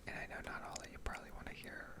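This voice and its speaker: Whispering woman, casual ASMR ramble. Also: rapid-fire. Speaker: game streamer and ASMR video creator.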